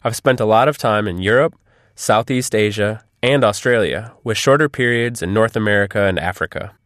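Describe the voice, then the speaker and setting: A man's voice: fairly low pitch, moderate pace, a bit bright, no room sound, clean studio sound. A man reading scripted answers as the guest in an interview.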